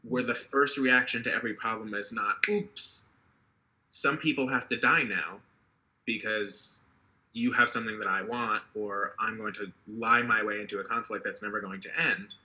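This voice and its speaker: A man's voice: lowish voice, steady tone, normal speed, bright, forceful tone, poor audio. A man in his twenties, an earnest political candidate speaking passionately on a video call, often restarting his sentences. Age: 20s. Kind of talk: interview over video call.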